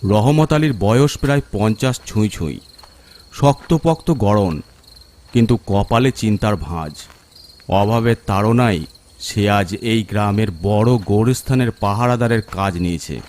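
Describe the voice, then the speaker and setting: Low-voiced man narrating horror. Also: unhurried, a little mellow. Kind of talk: scripted dramatic horror narration.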